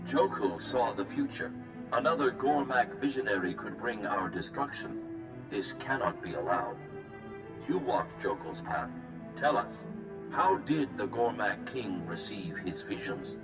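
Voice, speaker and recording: A stern alien leader speaks in formal, clipped lines for a video game. The voice is low and creaky, sounding distant, with music clearly behind it. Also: male voice, expressive, unhurried, echoey room. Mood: solemn. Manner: formal, clipped, solemn phrasing.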